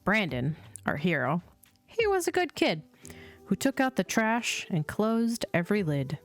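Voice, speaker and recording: A woman reading children's storybooks aloud as a host, in a measured, sing-song storytelling delivery. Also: medium pitch, sing-song. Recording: music playing behind the voice. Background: chill music.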